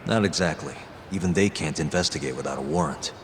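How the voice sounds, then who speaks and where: Cool low-voiced investigator in game cutscene. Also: male voice, fast talker, slight background noise. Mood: reasoning, assured. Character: level-headed, resolute male investigator, adult, quick-thinking. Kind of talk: video game cutscene dialogue. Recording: long reverb, music behind.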